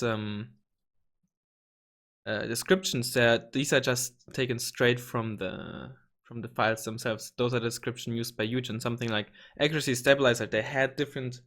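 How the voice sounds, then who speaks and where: A slightly hoarse young man hosting a casual gaming tutorial, rambling with many ums and restarts and the odd swear word. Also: medium pitch, a bit bright. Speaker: gaming channel host. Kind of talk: casual screen-recorded tutorial.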